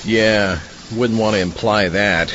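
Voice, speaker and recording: A man's voice: lowish voice, fairly even intonation, slow pace. A man playing a casual, mildly baffled sidekick in a comic audio drama with conversational delivery. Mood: puzzled. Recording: dull lo-fi recording, clear noise.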